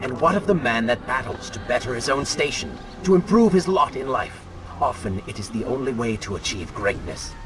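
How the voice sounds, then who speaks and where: An actor playing the sardonic, ruthless dark alter ego of a warrior prince in a video game, with a mocking, sarcastic manner. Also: male voice, mid-range voice, lively intonation, moderate pace, background noise, lightly acted. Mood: goading. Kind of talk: video game cutscene acting.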